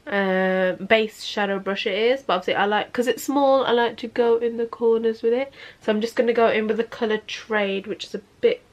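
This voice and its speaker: A woman's voice: on the high side, fairly even intonation, normal speed, highs cut off. Sniffly young woman, chatty. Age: 20s. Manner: chatty, keeps saying "obviously". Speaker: makeup vlogger. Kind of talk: casual makeup tutorial talk. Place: bedroom, sitting on a bed.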